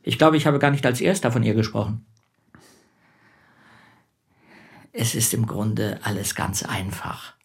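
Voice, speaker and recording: An actor playing a lonely, withdrawn immigrant shopkeeper in a radio play, answering tersely, hesitantly and defensively. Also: male voice, fairly low pitch, expressive, moderate pace, husky, exaggerated voice. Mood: quietly evasive. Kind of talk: naturalistic German radio play acting.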